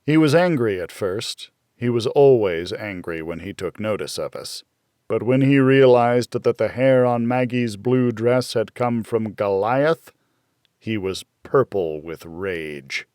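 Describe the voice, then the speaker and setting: Slow, deliberate male audiobook narrator. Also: fairly low pitch, lively intonation, darkish tone, no room sound, clean studio sound. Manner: measured, deliberate, dramatic storytelling. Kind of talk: scripted first-person fiction narration.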